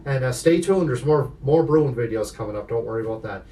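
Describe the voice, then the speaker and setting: A man's voice: mid-range voice, animated, fairly quick, far from the mic, heavy background noise. A man giving a casual, unscripted product review in a hesitant, rambling way. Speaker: video maker reviewing a radio. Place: inside an apartment.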